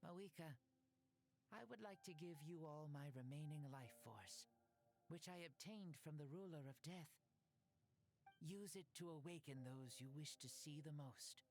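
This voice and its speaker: Solemn, gracious warrior lord. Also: male voice, a little high, lively intonation, soft background music. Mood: solemn, admiring. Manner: solemn, measured, formal oratory. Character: proud ancient warrior lord, male, warm and gracious. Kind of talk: scripted dramatic game dialogue.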